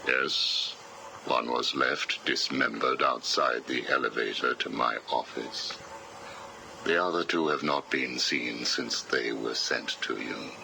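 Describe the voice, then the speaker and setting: Casting: sinister game psychiatrist. Extremely deep, slow, precise, cold, with a hard forceful edge. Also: male voice, vocal fry, a little hiss or hum, exaggerated voice, poor audio. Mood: cool impatience. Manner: measured, clinical, menacing, precise. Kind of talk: video game voice acting.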